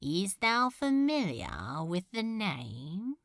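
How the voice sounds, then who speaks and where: A woman's voice: medium pitch, huge pitch swings, slow, vocal fry, fairly bright tone, no echo, clean studio sound, exaggerated voice. A woman voicing a cold, bored male demon lord in a storybook reading, speaking dreamily and tauntingly in archaic phrasing. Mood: dreamy taunting.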